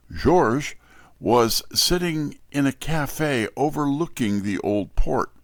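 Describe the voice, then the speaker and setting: Slow, gravelly male mystery narrator. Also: mid-range voice, no echo, studio quality. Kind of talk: scripted mystery story narration.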